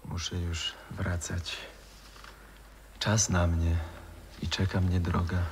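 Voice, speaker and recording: An actor playing a gentle, solemn, ghostly composer lover in measured, tender 1960s radio drama style. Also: male voice, low-pitched, level tone. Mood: resigned. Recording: noisy old radio recording, long reverb.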